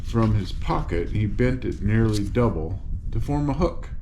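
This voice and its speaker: A man's voice: on the low side, expressive, a little slow. Older man reading aloud, audible background noise. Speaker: storybook reader. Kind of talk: read-aloud children's story narration. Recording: clearly noisy room ambience.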